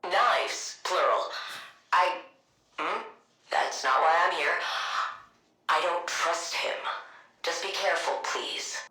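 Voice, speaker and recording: An actress playing a reserved, cerebral scientist villain in a video game, speaking in a formal, dry, guarded manner. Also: female voice, fairly low pitch, takes it slowly, long pauses, pushed and bright, roomy sound, low-quality recording. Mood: wary.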